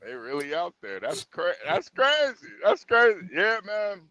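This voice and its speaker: A fairly high American male voice with a sharp, bright edge chats casually. He repeats phrases for emphasis, his pitch swinging wildly. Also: huge pitch swings, a little slow, lo-fi sound, slight background noise. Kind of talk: casual chat.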